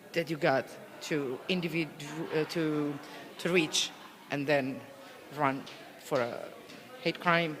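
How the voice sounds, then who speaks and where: A woman police officer from Italy with an Italian accent, speaking English haltingly with many restarts as she searches for words. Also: deep voice, a bit bright, big-room sound. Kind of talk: interview answers, conference delegate.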